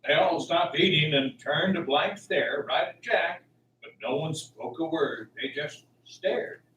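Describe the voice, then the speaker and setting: A man's voice: on the high side, unhurried, a bit bright. A man narrating a radio drama from a script. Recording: roomy, distant, faint background noise.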